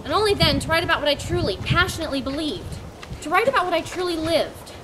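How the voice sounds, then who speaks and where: A woman's voice: extremely high pitch, expressive, fast, background noise. A woman reading the part of an idealistic male writer who lives alone in a woodland cabin, speaking in an earnest, declamatory way. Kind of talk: stage play reading.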